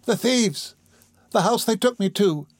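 A man's voice: on the high side, normal speed, no echo, voice pushed up, close to an everyday voice. A man voicing an eager, grateful young orphan boy in an audiobook, speaking earnestly. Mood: alarmed. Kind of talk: audiobook character voice.

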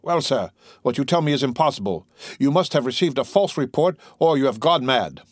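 A man's voice: mid-range voice, lively intonation, moderate pace, no room sound, clean studio sound, lightly acted. A man voicing an indignant, imperious aging king in a storybook reading. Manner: commanding, sharp, sarcastic.

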